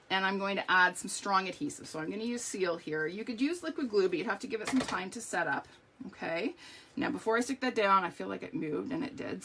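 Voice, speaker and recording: A middle-aged woman with a strained, hoarse voice, chatting through a live card-making tutorial with lots of ums and okays. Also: on the low side, a bit bright, slight background noise.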